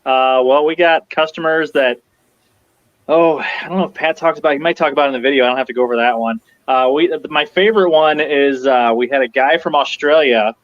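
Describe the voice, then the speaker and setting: A man who designs props, guesting on a casual interview over a video call and telling stories in a relaxed way. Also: medium pitch, brisk, no room sound, muffled recording. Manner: relaxed storytelling, laughing easily.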